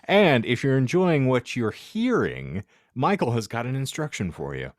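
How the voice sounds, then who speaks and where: A man's voice: medium pitch, very animated, moderate pace, no room sound, studio quality. A man hosting a comic podcast, talking in a theatrical, florid and wordy way. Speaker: podcast host.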